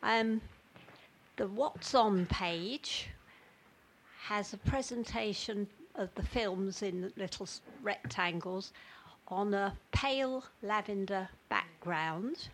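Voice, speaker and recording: A woman's voice: medium pitch, a little hiss or hum. A woman in the audience at a public meeting, politely raising a complaint. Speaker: audience member. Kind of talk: meeting discussion.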